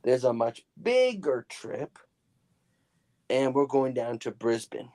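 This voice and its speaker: A man's voice: mid-range voice, big pitch swings, slow, a little mellow. A man chatting casually on a livestream, often stammering and repeating words as he gets going. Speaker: livestream co-host. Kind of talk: casual chat.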